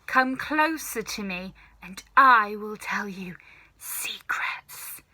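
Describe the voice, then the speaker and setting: A sinister old grandmother in a children's storybook, performed by a woman reader. She is snappish and commanding, with a bright, forceful voice. She takes her time, stretching words with nasty relish. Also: medium pitch, lively intonation, slow, exaggerated voice. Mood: sinister, menacing. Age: older. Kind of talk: children's storybook character reading.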